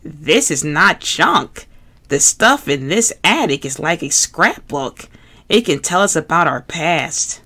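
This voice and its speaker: A serious, earnest puppet man from a storybook, as the reader puts him on. He talks slowly and deliberately, as if giving a small lecture, sitting only a little above the narrator's plain voice. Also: mid-range voice, expressive, bright, forceful tone, heavily acted. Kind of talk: storybook read-aloud.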